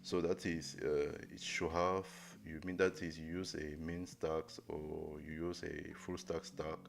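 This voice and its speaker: A young man live-streaming a coding lesson, thinking aloud in a halting way with many fillers and restarts. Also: a bass voice, level tone, gravelly. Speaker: coding livestreamer. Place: indoor room at a desk. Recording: music playing under the voice. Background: music playing and keyboard typing.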